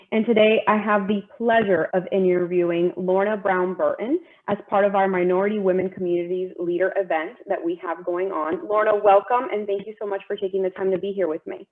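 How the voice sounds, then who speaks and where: A woman's voice: mid-range voice, phone-quality sound. A woman hosting an interview, asking polite, welcoming questions in a formal way. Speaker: interviewer, bar association president-elect.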